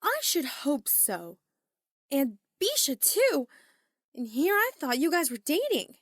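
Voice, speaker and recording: A woman's voice: high-pitched, sing-song, takes it slowly, dry recording, studio quality, exaggerated voice. A woman playing a bold, pushy, sarcastic girl in a fan audio drama, blunt and teasing. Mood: indignant.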